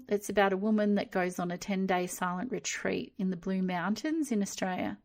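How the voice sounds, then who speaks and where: Woman in her fifties, podcast host, candid, easy laugh, middle-pitched voice. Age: 50s. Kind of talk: reflective solo podcast talk.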